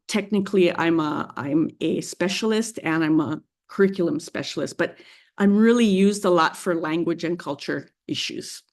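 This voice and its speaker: Woman in her 50s, guest lecturer, measured explanatory delivery, slightly low pitch. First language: English. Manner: measured, explanatory, with frequent ums and restarts. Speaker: guest speaker, Hawaiian language specialist. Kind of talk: classroom presentation.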